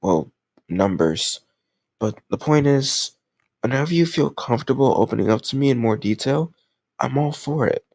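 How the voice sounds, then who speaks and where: Creaky-voiced, doting lovesick kidnapper played by a man. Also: mid-range voice, animated, moderate pace, no echo. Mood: gentle, reassuring. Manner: earnest, gently reassuring, awkwardly doting, conversational. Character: sweet, lovesick, obsessive male kidnapper comforting a captive crush. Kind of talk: intimate audio roleplay acting.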